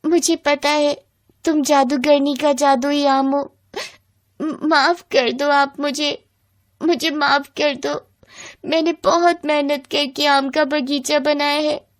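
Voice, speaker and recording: A woman's voice: high voice, flat delivery, takes it slowly, pitched above the performer's own voice. A woman voicing a poor, earnest young fruit grower with a pleading manner in a moral bedtime story. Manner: pleading, plaintive.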